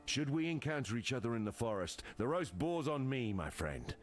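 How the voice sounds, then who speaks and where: A man's voice: mid-range voice, sing-song, normal speed, natural voice. A man voicing a hearty, blunt man of action from the forest in a video game, with faint music behind. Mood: hearty, friendly.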